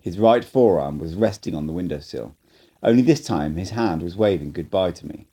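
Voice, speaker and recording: A man reads an audiobook aloud in calm, measured first-person narration. His voice sits low and has a mellow timbre. It is an amateur reading, not a professional one. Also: slightly rough.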